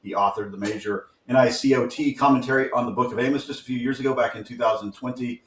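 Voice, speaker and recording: A man's voice: mid-range voice, steady tone, quick pace, slight background noise. Easygoing man in a roomy room, distant mic. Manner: easygoing and rambling, with frequent fillers and self-corrections. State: groggy. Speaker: podcast co-host. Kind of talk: casual interview talk.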